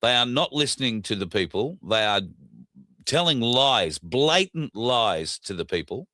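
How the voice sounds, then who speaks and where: An Australian man with a scratchy, hoarse throat, hosting a casual solo talk show in a blunt, indignant way. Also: medium pitch, big pitch swings, measured pace, pushed and bright, no room sound, clean studio sound. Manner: blunt, indignant, frequent uh fillers. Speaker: podcast host.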